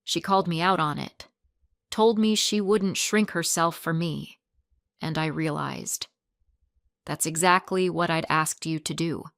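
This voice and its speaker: A woman reading the lines of a remorseful man, her ex-fiancé, in a quiet, halting manner. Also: sits low, steady tone, creaky, dry recording, clean studio sound, heavily acted.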